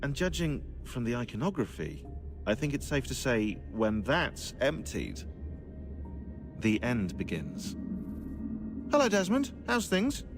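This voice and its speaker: An actor playing a dry, witty, bookish British researcher in a video game, speaking articulately and wryly. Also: male voice, medium pitch, huge pitch swings, a little slow, long pauses, over music. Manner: wry, articulate. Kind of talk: naturalistic video game acting.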